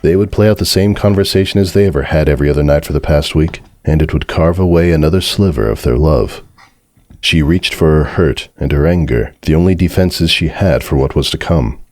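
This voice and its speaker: Casting: male story narrator, extremely deep voice, dramatic scripted read, close dry sound. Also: fairly quick, a little mellow, no room sound.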